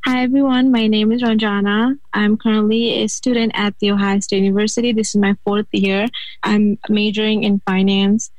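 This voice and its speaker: Flat-voiced young woman from Nepal. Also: mid-range voice, moderate pace, no room sound, low-quality recording. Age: young adult. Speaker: student guest. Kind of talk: personal introduction on a call-in.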